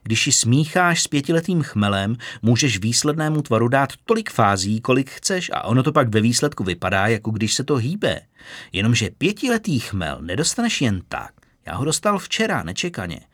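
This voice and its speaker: A man voicing an eager male magic clay shaper who pours out a rushed torrent of words. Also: mid-range voice, very animated, fairly bright tone, no room sound, clean studio sound.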